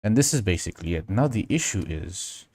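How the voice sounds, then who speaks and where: A man from Indonesia with a fairly low voice hosts a relaxed, informal livestream. He thinks aloud through his work and answers chat as he goes. The sound is close and dry. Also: brisk, no room sound. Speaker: livestream host. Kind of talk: casual livestream tutorial chat while working.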